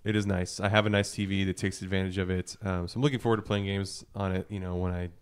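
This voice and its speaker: A man with a low, clear voice talks casually as a podcast panelist. His delivery is conversational and a little hesitant, as if working out his thoughts while he speaks. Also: little pitch movement, fast. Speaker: podcast panelist discussing video games. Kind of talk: casual chat.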